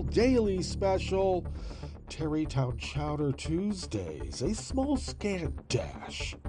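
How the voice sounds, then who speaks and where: A man hosting a political talk show, stuffed up from allergies, rambling and halting with many fillers. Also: fairly high pitch. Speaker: podcast host. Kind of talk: casual political commentary.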